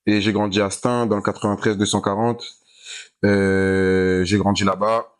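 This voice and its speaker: A laid-back young man from France chatting as an interview guest in a low voice. He speaks in loose, rambling sentences, restarting and repeating himself with casual slang. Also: level tone, moderate pace, clear, resonant tone. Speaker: American football player, guest. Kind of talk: casual interview chat while playing a video game.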